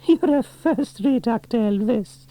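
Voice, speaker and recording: A man doing a brisk, kindly firefighter woman. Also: extremely high pitch, animated, dark tone, voice pushed up, full character voice, low-quality recording.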